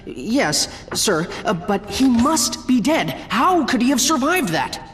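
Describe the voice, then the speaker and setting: A timid, submissive villain's underling in a video game. The voice is hesitant and stammering, jumping in pitch, and rings in a long reverb. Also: female voice, sing-song, takes it slowly. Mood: nervous.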